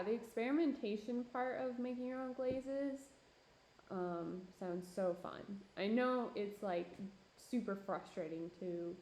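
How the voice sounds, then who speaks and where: A woman's voice: medium pitch, warm tone, slight background noise, rough recording. A young woman pottery streamer chatting casually with her chat while she works, with lots of fillers and laughs, and her voice starting to go. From Minneapolis–Saint Paul area. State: losing her voice. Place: home pottery studio.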